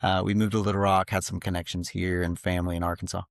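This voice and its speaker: A man's voice: low voice, level tone, fast, creaky, dry recording, clean studio sound. A man, a podcast guest talking earnestly in his home office, with many fillers. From grew up in Slovakia. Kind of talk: casual interview talk.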